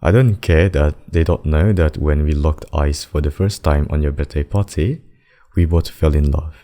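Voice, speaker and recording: A man's voice: extremely low pitch, dark tone. A man playing a devoted, protective demon king in an intimate ASMR roleplay, speaking formally and tenderly to a lover. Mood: tender, reassuring. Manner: slow, formal, doting speech with endearments.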